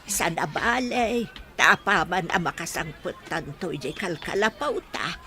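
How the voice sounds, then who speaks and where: A woman's voice: lowish voice, animated, unhurried, bright, forceful tone, exaggerated voice. A woman playing a poor, weary and apologetic old grandmother in a radio drama. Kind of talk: Ilocano radio drama acting.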